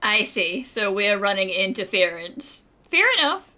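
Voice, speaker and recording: A woman's voice: medium pitch, very animated, measured pace, pushed and bright. A woman commenting on a game as she plays, reacting casually in a wry, joking way. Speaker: gamer commenting on a playthrough.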